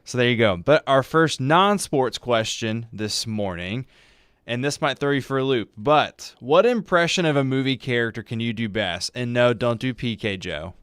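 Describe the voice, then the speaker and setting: A man's voice: mid-range voice, lively intonation, moderate pace, a bit bright, smooth, dry recording, studio quality. A man co-hosting a sports radio show, putting questions in casual talk. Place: dry radio studio.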